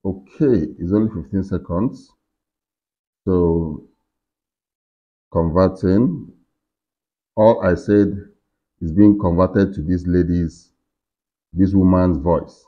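A middle-aged man with a deep, low voice talks viewers through software at his desk. He explains step by step, often pausing on fillers and restarting his sentences. The recording sounds slightly dull and lo-fi, picked up by a computer mic. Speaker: tech tutorial host. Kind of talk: screen-recorded software walkthrough. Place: indoor room at a computer desk.

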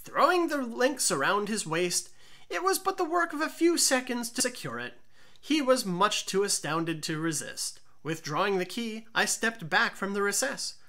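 A woman narrates a gothic tale as an audiobook reader. Her voice is bright and forceful, with lively swings of pitch and a deliberate, theatrical delivery. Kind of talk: dramatic gothic storybook narration.

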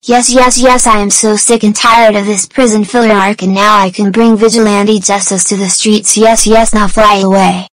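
A woman's voice: medium pitch, level tone, moderate pace, hardly any pauses, no room sound, natural voice, low-quality recording. A woman voicing a smug, scheming bald young boy in a crude cartoon parody. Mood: gloating. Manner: smug, gloating, repetitive.

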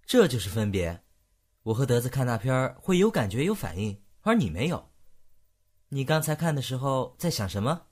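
A man's voice: medium pitch, very animated, normal speed, darkish tone, breathy edge. A man acting an unflappable, blunt young man who counsels and teases a friend in a Mandarin radio drama, matter-of-fact in manner. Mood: explaining calmly. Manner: unfazed, matter-of-fact, teasing.

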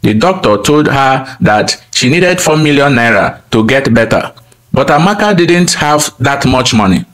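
A man telling a scripted folktale as a storyteller, in a simple, deliberate manner. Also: mid-range voice, expressive, fairly bright tone, low-quality recording.

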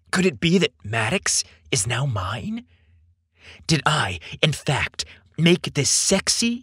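A man voicing a shy, lovestruck teenage boy with breathy, dramatic delivery in a story podcast. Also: a little high, fairly bright tone, dry recording, clean studio sound, pitched above the performer's own voice, heavily acted.

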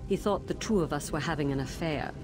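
A woman's voice: deep voice, music in the background, natural voice. A woman voicing a sorrowful ghost teacher. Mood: grateful. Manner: sorrowful, measured and grave. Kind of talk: video game voice acting. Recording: game audio with steady background noise.

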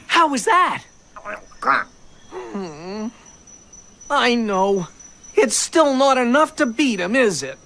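A woman's voice: fairly high pitch, very animated, slow, long pauses, a bit bright, full character voice. A woman voicing a small, earnest young animal underdog in an English cartoon dub, plaintive and determined. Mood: dejected.

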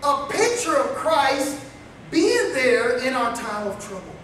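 A man's voice: very high-pitched, takes it slowly, slight background noise. A middle-aged man preaching a storytelling sermon in a small meeting room, acting out quotes as he paces and gestures. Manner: animated, acts out quotes, gestures widely while pacing. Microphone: far camera. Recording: very echoey room from far off.

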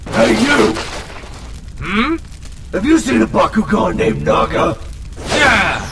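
A man plays an eager, naive cartoon dragon. The voice is high, creaky and childlike, unhurried and pestering, with echo and music. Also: sing-song, full character voice. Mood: pleading. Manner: eager, pleading. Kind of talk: fan parody cartoon dub. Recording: echoey, with music behind.

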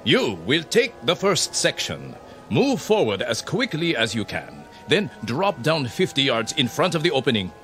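Stern, imperious army captain. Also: male voice, mid-range voice, huge pitch swings, moderate pace, fairly bright tone, soft background music. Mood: cold, commanding. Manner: clipped, imperious, barking orders. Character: stern, merciless Japanese army captain, contemptuous and commanding. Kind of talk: radio drama acting.

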